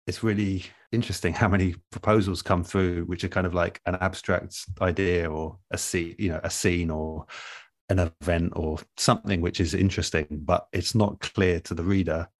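A man in a relaxed interview, explaining things in a thoughtful, measured and earnest way as an experienced producer. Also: sits low, no echo, studio quality. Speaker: interview guest, audio drama producer. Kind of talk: relaxed advice-giving conversation.

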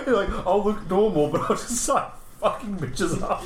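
A man playing a tabletop game, joking and teasing the other players. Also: high-pitched. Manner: joking and playful, quick to tease. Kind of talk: casual tabletop game chat. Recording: roomy recording with faint noise.

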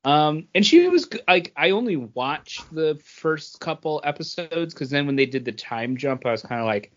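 A man's voice: a little high, very animated, normal speed, dull audio. A man co-hosting a podcast, chatting casually and retelling anecdotes with quoted dialogue. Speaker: podcast co-host.

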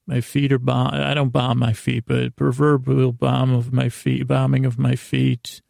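A man with a deeply fried, gravelly voice and a relaxed, muted tone, recorded dry and close. He hosts a bedtime podcast, meandering along with halting starts, repeated words and loose tangents. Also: no room sound. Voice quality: gravelly, creaky. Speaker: podcast host. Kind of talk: rambling bedtime monologue for sleepless listeners.

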